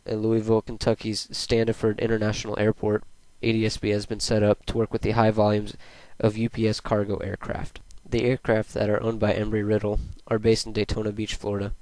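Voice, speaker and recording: Even-toned young man reading a presentation. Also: lowish voice, a little fast, few pauses, no room sound, low-quality recording. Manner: plain, read-aloud delivery. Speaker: student presenter. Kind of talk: scripted narration of a school presentation.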